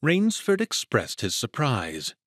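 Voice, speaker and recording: A man with a husky voice narrating a scripted dramatic story. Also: mid-range voice, wildly swooping pitch, takes it slowly, fairly bright tone, no echo, clean studio sound.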